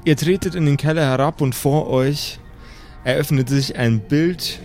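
A man narrating an improvised tabletop horror adventure as game master, pausing as he builds each description. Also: fairly high pitch, a little mellow. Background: background music.

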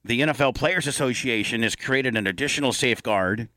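A man's voice: mid-range voice, brisk, pushed and bright, dry recording. A man hosting a talk show, bantering and telling stories in a casual way.

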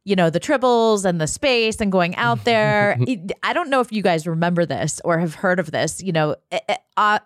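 A woman's voice: mid-range voice, animated, moderate pace, hardly any pauses, no echo, studio quality. Female podcast co-host, mid-range voice, conversational, very little room sound. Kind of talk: casual conversational chat.

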